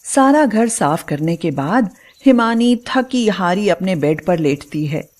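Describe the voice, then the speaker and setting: A woman's voice: medium pitch, expressive, slow pace, a little mellow. A woman narrator reading a scripted dramatic family story.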